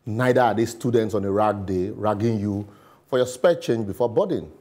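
A middle-aged man with a Nigerian accent reads his opinion piece to a studio camera. His voice is mellow and a little husky, and he leans on words with sarcasm and pidgin asides. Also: lowish voice, normal speed. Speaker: commentator. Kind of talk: scripted opinion commentary. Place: television studio.

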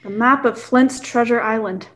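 An excitable, hearty country squire acted by a young woman in a radio play. The voice is high. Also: normal speed, close to an everyday voice. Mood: excited. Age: young adult. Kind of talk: amateur radio play acting.

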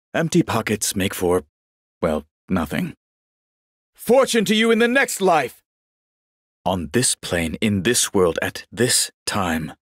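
A man voicing a genial, vain old warrior monk in a video game, speaking in a measured, courtly and wryly pious manner. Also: medium pitch, breathy edge, dry recording, clean studio sound, exaggerated voice. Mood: serene, wry. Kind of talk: scripted game character voice lines.

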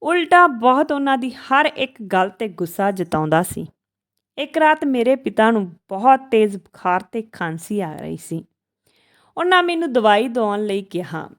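Kind, repentant middle-aged man in an audio story, read by a woman, higher pitch, earnest, halting, respectful. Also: very animated. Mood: remorseful, sad.

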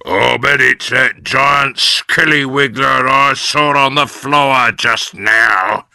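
Bloke doing a mean old geezer, drawling slow, a bit higher than his own voice. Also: gravelly, sharp tone, smooth, no echo, clean studio sound, exaggerated voice. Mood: sneering. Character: grumpy nasty old man, scheming.

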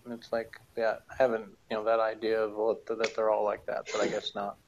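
A man's voice: fairly low pitch, completely flat delivery, brisk, muffled recording, crowd noise. A middle-aged man co-hosting a casual chat from his home office, curious and full of questions, laughing easily. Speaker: podcast co-host.

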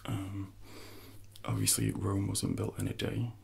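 A man in his thirties speaking softly and gently in an ASMR personal trainer role-play, close to the microphone with unhurried, hesitant pauses. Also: flat delivery. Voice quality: soft, breathy, gravelly low voice.

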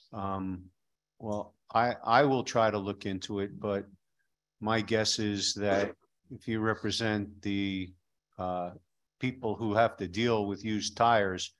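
Middle-aged guy grilling a witness at a hearing, slow and lowish, desk mic. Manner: probing, skeptical, measured questions. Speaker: state legislator questioning a witness. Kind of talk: committee hearing questioning. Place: legislative hearing room. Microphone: desk microphone.

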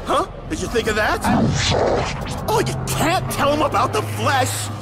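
A man's voice: very high voice, expressive, a little slow, over loud music. An actor playing a twitchy, paranoid man who hears voices, whiny and rambling, in a video game cutscene. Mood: whiny, agitated.

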